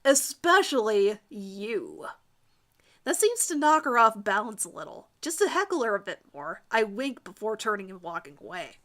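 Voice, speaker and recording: A woman giving a theatrical, expressive dramatic reading of fan fiction. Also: on the high side, a little fast, hardly any pauses.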